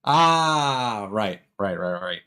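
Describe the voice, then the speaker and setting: A man's voice: lowish voice, very animated, very slow, bright, forceful tone, smooth. A man livestreaming a video game, chatting casually and thinking aloud in a hesitant, rambling way. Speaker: game live streamer. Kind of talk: casual livestream chat while gaming. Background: game music.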